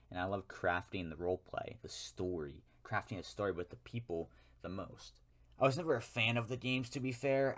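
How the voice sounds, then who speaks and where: A young American man rants alone to camera in a rambling way, often correcting himself and adding wry asides. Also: deep voice. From United States. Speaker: YouTuber. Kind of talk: ranting solo monologue.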